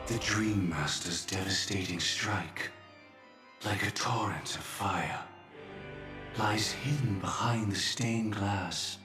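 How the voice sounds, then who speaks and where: A grave male game villain, passes judgment in a low, slow voice. His words are formal and fatalistic, delivered with solemn courtesy. He sounds distant in an echoing space, with music underneath. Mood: ominous. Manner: solemn, formal, measured pronouncements. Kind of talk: dramatic video game voice acting.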